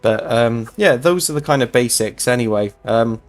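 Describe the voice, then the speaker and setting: A man hosting a casual game walkthrough, his voice a little hoarse and tired, explaining things in a chatty, rambling way. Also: mid-range voice, moderate pace. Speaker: host of a gaming channel.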